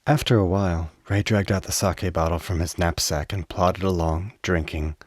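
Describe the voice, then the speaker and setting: A man's voice: low voice, fairly even intonation, normal speed, no room sound, studio quality. A man reading scripted narration for a radio play.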